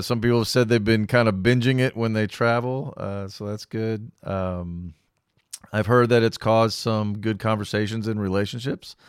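A middle-aged man with a calming voice, hosting his own podcast in a relaxed, rambling way with self-deprecating humor. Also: fairly low pitch, clean tone, dry recording, clean studio sound. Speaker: podcast host. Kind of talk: casual solo podcast monologue.

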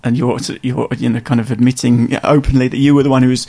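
A man's voice: mid-range voice, level tone, fast, gravelly, warm tone. A man hosting an interview, rambling eagerly with many repeated words and restarts. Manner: rambling, enthusiastic, with many repetitions. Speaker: interviewer host. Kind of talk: casual interview chat.

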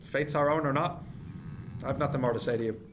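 A man's voice: mid-range voice, brisk, lightly acted. A man playing a guarded, ambitious 18th-century first mate in a radio drama, with a formal, restrained manner. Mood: stern, dismissive. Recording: clear background noise, ship ambience.

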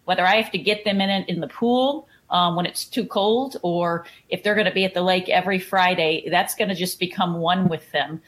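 A woman's voice: fairly low pitch, moderate pace, hardly any pauses, a bit bright. A woman with a Texan accent, a triathlon coach chatting in a podcast interview and often repeating words as she starts a thought. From Houston, Texas.

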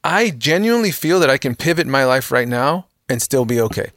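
A man in his thirties talks in an earnest, motivational way, leaning hard on the points he wants to land. His voice sits at a middle pitch and is recorded close and dry, with no room sound. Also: animated, a little fast, fairly bright tone, dry recording. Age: 30s. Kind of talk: casual podcast conversation.

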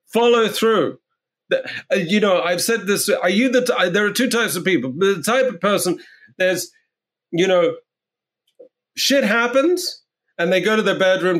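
A man with a fairly high voice speaks as an interview guest. He tells his stories with blunt energy and swears freely. The talk is casual and unscripted. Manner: animated, blunt storyteller who swears freely. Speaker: real estate agent, guest.

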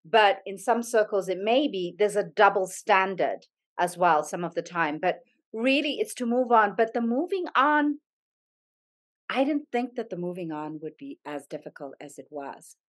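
A woman's voice: mid-range voice, animated, moderate pace. A middle-aged woman from Zambia, a yoga studio owner and podcast guest, speaking earnestly and thoughtfully with frequent restarts. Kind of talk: reflective conversational interview.

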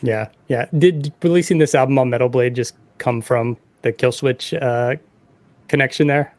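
A man's voice: mid-range voice, moderate pace, darkish tone. A man hosts a casual interview in a mid-range voice. He puts his questions informally, as in everyday conversation. The sound is dry, with no room echo. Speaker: podcast host, interviewer.

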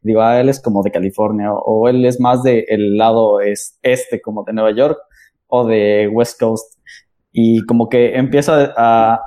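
A man's voice: mid-range voice, dark tone. A man hosting a casual chat, laughing along and musing aloud.

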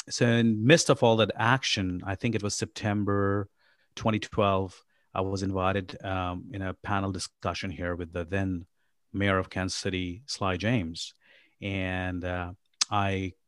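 A man's voice: fairly low pitch, no room sound, studio quality. A middle-aged man from Pakistan, a startup founder chatting in a podcast interview, rambling with lots of ums and uhs. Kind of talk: casual interview chat.

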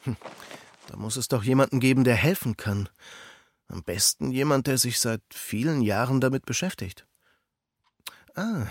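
Gravelly, husky, kindly helper. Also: male voice, medium pitch, animated, moderate pace, long pauses, dry recording, studio quality. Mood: thoughtful. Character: kind, devout, practical male helper and friend. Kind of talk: children's audio drama acting.

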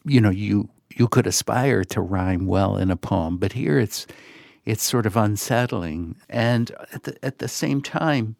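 A man's voice: fairly low pitch, measured pace, gravelly, a little mellow, no echo, clean studio sound. A man talking reflectively as a literary editor and host, thoughtful and hesitant, often circling back to rephrase. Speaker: magazine editor and podcast host.

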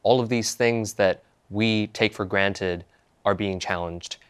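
This is a young man from Hong Kong with a very deep voice. He answers interview questions methodically, building up lists of points in an even, explanatory flow. He sounds like a thoughtful student activist. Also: clean tone. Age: young adult. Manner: measured, explanatory, listing points one by one. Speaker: activist guest.

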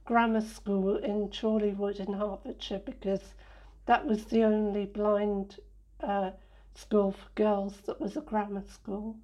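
A woman from England answering interview questions in an unhurried, reflective way, with many fillers and restarts. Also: medium pitch, little pitch movement, warm tone, rough recording.

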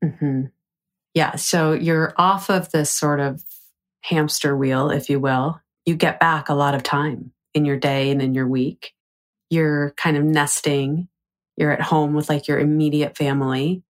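A woman's voice: low voice, fairly even intonation, a little slow. A woman hosting a podcast, chatting with her cousin in an upbeat, encouraging way and laughing often. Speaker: podcast host. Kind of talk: casual interview chat.

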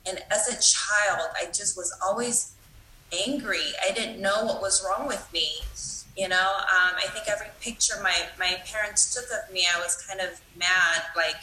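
A woman from Taiwan telling her own story at a recovery meeting, candid and self-deprecating, with plenty of "um" and "you know." Her voice has a bright, forceful edge. The room around her rings with echo. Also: mid-range voice, normal speed, slight background noise. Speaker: AA meeting speaker. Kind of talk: personal recovery talk.

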